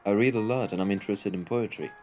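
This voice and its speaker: Guy acting real deep voiced mafia gentleman, polite n formal, calm but kinda ominous, asmr roleplay w soft music under it. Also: lightly acted. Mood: cultured, musing. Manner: measured, formal, eloquent, softly ominous. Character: courteous, cultured male mafia henchman, calm and menacing. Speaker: audio roleplay voice actor.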